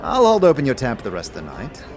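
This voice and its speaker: A man voicing an excitable innkeeper and showy salesman with rapid-fire, rhyming patter in a fantasy audio game. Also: medium pitch. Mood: brisk, wary. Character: manic, fast-talking male innkeeper and showy salesman. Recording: music under the voice.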